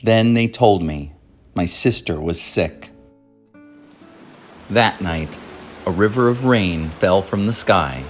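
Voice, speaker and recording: A man hosting a children's read-aloud, narrating from a script in a measured, clearly phrased way. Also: low-pitched, soft background music.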